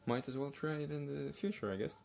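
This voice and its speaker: A man's voice: mid-range voice, fast, gravelly, darkish tone. A man giving casual, hesitant unboxing commentary as a hobby builder, with offhand trailing asides. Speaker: hobby builder and video host. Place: indoors at a wooden table. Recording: faint noise, crinkling packaging. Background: clicks and crinkling plastic bags.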